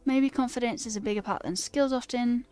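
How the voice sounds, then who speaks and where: A woman's voice: a little high, fairly quick, warm tone. A young woman with a put-on British accent, chatting casually on a livestream and reading comments aloud in a self-deprecating, theatrical way, music behind her. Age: young adult. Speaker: live coding streamer.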